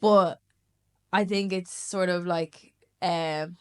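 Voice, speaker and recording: A woman's voice: on the low side, fairly even intonation, slow pace, clean tone. A woman co-hosting a podcast, chatty and reflective, full of "like". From Ireland. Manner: chatty, many "like" fillers, reflective. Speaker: podcast co-host. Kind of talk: casual podcast chat.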